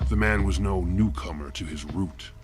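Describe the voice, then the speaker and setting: Man as cold killer narrator, low-pitched, ornate formal phrasing, measured menace, scripted horror fiction, music behind. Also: close to an everyday voice. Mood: ominous calm. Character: cold, menacing big male killer narrating his own tale.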